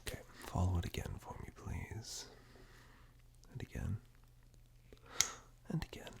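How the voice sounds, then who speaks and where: A man doing soft-spoken, half-whispered ASMR roleplay, reassuring and unhurried, speaking directly to the listener close to a binaural microphone. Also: sing-song. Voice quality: breathy whisper mixed with very deep, gravelly murmur. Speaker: ASMR performer playing caregiver and eye examiner roles.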